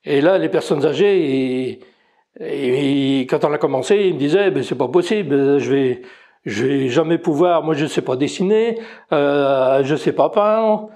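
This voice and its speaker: Slow gravelly Belgian older man. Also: on the high side, darkish tone. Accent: Belgian French. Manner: hesitant, many fillers and restarts. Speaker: amateur painter. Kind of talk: casual interview.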